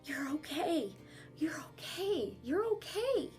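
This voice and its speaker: A woman's voice: sits high, sing-song, slow pace, darkish tone, faint music behind, voice pushed up, heavily acted. A woman reading a storybook, voicing an anguished, loving boy who pleads with emotion and urgency. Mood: tender relief. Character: anguished, loving boy calling to his pet fox.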